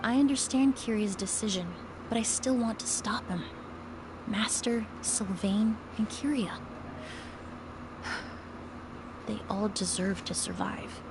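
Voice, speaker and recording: A woman's voice: mid-range voice, slow, long pauses, close to an everyday voice. An actress playing a composed, analytical and polite young woman investigator in a video game. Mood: resolute, troubled. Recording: game audio with clear background noise.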